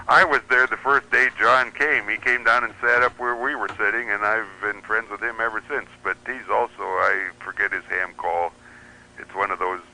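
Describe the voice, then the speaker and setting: Radio guest, American man, middle-aged. Sharp, forceful tone on a lowish voice, casual storytelling. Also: vocal fry, slight background noise, low-quality recording. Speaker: guest, shortwave radio hobbyist.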